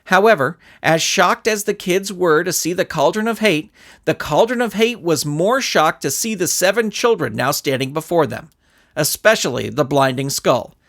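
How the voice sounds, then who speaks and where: A man reading a scripted story aloud in a theatrical, expressive storytelling manner. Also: a little high, moderate pace. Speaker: narrator and author reading his own story. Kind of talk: animated scripted audiobook narration.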